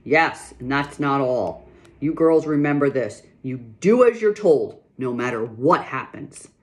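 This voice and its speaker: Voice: medium pitch, expressive, a little slow, close to an everyday voice. A reader voicing a firm, loving pioneer father in a children's book read aloud, speaking emphatically. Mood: stern. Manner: firm, emphatic, scolding the girls. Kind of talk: reading a children's novel aloud.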